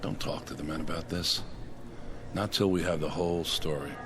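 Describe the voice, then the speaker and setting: An actor playing a dutiful, open-minded major in a radio drama, speaking in a measured, probing way. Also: male voice, a bass voice, fairly even intonation, noisy background. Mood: calm, probing.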